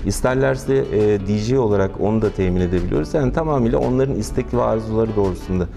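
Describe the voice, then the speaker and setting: A man in his forties from Turkey talks about his wedding hall in a halting, earnest way, full of filler sounds. His voice is gravelly and sits somewhat low. Music plays clearly in the background. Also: warm tone. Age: 40s. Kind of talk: business interview. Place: decorated wedding hall, indoors.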